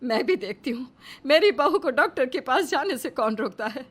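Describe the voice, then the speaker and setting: A defiant Indian village mother-in-law played by a woman from a cartoon melodrama. Her voice sits a little high. She is protective and emphatic, standing firm in every phrase. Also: animated, normal speed, breathy edge. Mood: defiant, pleading. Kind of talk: Hindi cartoon family melodrama.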